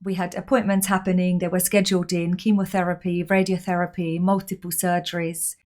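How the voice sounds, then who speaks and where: A woman's voice: fairly low pitch, level tone, fairly quick, darkish tone. A woman from Austria, a podcast guest telling her personal story in an open, reflective way.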